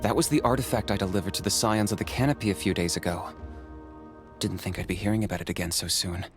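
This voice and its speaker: A man plays a dry, laconic young courier in a game. His voice sits a little low and he rattles his lines off quickly and offhandedly over clear background music. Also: close to an everyday voice. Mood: offhand. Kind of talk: game voice acting.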